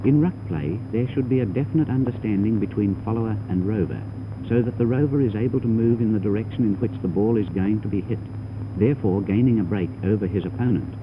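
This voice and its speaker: A man's voice: on the low side, fast talker, lo-fi sound. A man giving formal, instructive scripted narration for a 1930s training film, on a noisy old soundtrack. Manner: formal, didactic.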